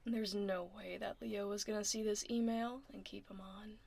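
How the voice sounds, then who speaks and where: A woman playing a laid-back tomboy werewolf office admin in a soft, casual, wry ASMR roleplay. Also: medium pitch, little pitch movement, moderate pace, creaky, husky. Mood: confiding, wry. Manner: casual, reassuring, wry, murmuring. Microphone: close binaural ASMR microphone. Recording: close binaural mic, faint background noise.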